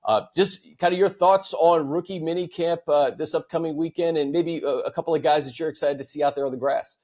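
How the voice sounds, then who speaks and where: A man's voice: on the high side, steady tone, a little fast, a little mellow. A man hosting a sports show, talking casually and conversationally about football and handing over questions.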